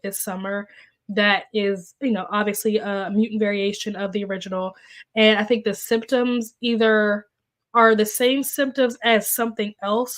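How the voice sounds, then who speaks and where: A young woman hosting a casual livestream, chatty and full of fillers, sounding congested and a little coughy as she recovers from being sick. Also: medium pitch. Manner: chatty, filler-heavy, reacts to comments. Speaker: livestream host. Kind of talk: casual livestream chat, reading viewer comments. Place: at home. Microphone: desk microphone.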